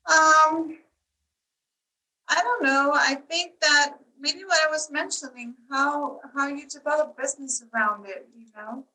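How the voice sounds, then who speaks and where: A woman's voice: sits high, unhurried, pushed and bright, far from the mic, poor audio. A woman from Costa Rica who owns a tourism marketing agency, answering interview questions in a chatty way with lots of "you know.".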